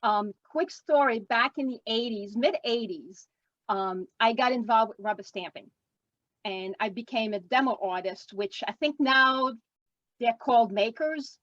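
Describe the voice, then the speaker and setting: A woman's voice: fairly high pitch, a little slow, a bit bright, no room sound. A retired nurse in her sixties, a woman from Quebec with a French Canadian accent, chatting at length and rambling with lots of ums in an interview over a video call. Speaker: guest artist. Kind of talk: casual interview chat. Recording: dull lo-fi video call audio.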